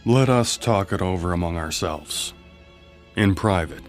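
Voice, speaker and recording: An actor playing a gentle, weary widowed father in a radio drama, measured and restrained. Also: sits low. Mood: strained, firm. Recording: studio radio play with music underneath.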